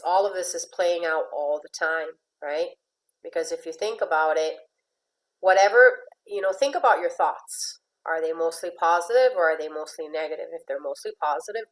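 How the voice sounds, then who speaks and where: Woman, low voice, from Venezuela, relationship coach, chatty, light laughs. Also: rough recording. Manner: chatty, repeats words, laughs lightly between phrases. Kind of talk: motivational talk to a group.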